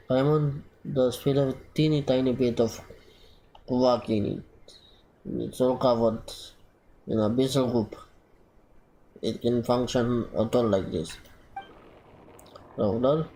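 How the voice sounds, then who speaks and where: A man reading video game dialogue aloud as he plays, halting and repeating phrases as he goes. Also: medium pitch, steady tone, dark tone, a little hiss or hum, low-quality recording. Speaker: gaming streamer. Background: game music.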